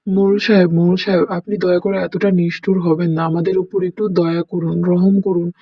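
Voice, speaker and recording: A man's voice: sits high, level tone, quick pace, no echo. A man playing a poor, desperate village farmer in a melodramatic cartoon, pleading in a plaintive, imploring way. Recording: dull lo-fi cartoon audio.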